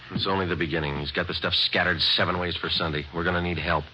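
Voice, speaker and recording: A man playing a terse, deep-voiced police sergeant. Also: steady tone, fast, background noise, close to an everyday voice. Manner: clipped, matter-of-fact, terse. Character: clipped male police sergeant, dry and businesslike. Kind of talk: 1940s radio acting and narration. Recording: dull old radio broadcast.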